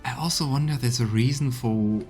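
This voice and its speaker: A man streaming a video game, giving commentary and reading in-game texts aloud in a measured, storytelling way. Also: mid-range voice, a little mellow. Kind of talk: let's play commentary and voiced reading. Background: video game music.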